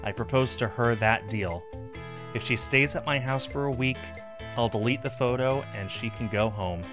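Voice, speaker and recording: A man's voice: medium pitch, moderate pace, music in the background. A man streaming a game and narrating its visual novel text aloud.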